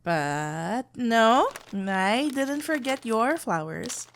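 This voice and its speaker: A woman's voice: fairly high pitch, animated, extremely slow, exaggerated voice. A woman playing a sweet, flustered florist best friend in an ASMR roleplay, playful and awkwardly hesitant. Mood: fond, teasing. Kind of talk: ASMR roleplay acting, one-sided dialogue.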